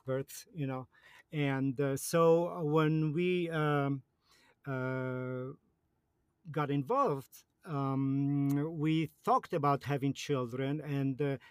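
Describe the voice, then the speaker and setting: A man from Poland in his fifties with a Polish accent, a guest in a casual interview who speaks in a halting way with many fillers and restarts. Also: medium pitch, fairly even intonation, clear, resonant tone, dry recording.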